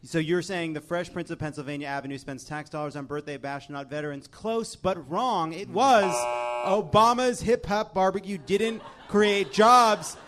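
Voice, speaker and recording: A man's voice: high voice, normal speed, background noise. A man hosting a comedy game show in front of a live audience, sarcastic and often laughing as he talks. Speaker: host.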